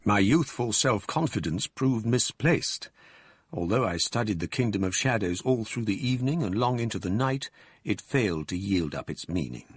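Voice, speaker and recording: A man narrating his own past in a measured, storytelling way, as in a radio drama. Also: on the low side, lively intonation, slightly rough, dry recording. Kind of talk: scripted first-person narration in a radio drama.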